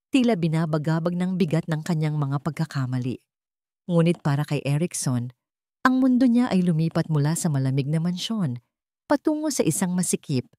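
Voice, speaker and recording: A woman's voice: sits low, warm tone, no echo, studio quality. A woman with a Filipino accent reading a scripted dramatic story as a storyteller.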